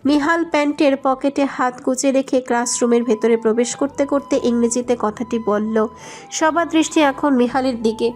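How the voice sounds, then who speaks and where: A woman reads a romantic story as its narrator. Her voice is on the high side, and she delivers scripted prose. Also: moderate pace, faint music behind.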